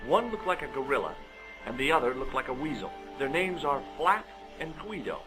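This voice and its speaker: A man's voice: mid-range voice, big pitch swings, moderate pace, over music, close to an everyday voice, poor audio. An actor playing a wry, inquisitive man, the hero of an adventure game, in dialogue and narration. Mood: matter-of-fact.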